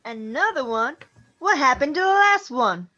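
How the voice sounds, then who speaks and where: A woman's voice: high voice, expressive, normal speed, sharp tone, lo-fi sound. A woman playing a feisty, vengeful ghost grandmother in an amateur radio drama. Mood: nosy, scornful. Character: feisty ghost grandmother, vengeful, nosy, haunting her old house.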